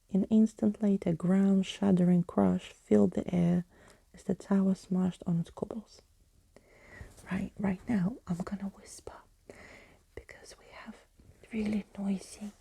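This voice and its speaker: A woman reading a storybook for ASMR in a soft, hushed, soothing bedtime manner. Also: mid-range voice. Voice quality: soft, breathy.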